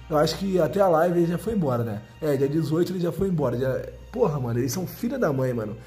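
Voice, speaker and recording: A young Brazilian man, hoarse from a cold and losing his voice, hosting a casual, slangy gaming news update. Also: high voice, warm tone. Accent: Brazilian Portuguese. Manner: slangy, chatty, addresses viewers as bro. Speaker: gaming channel host. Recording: faint music behind.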